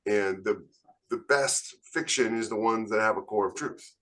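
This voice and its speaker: A man's voice: fairly low pitch, normal speed, a bit bright. A man co-hosting a podcast, talking casually but emphatically as he argues his opinions at length. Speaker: podcast co-host and military sci-fi author. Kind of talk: casual opinionated panel discussion.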